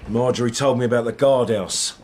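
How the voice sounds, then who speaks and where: An actor playing an irritable, bullying husband and boss in a radio drama, gruff and sarcastic, with an English accent. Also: male voice, mid-range voice, fairly even intonation, a little fast, a little mellow, natural voice. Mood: sarcastic, grudging. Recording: noticeable background noise.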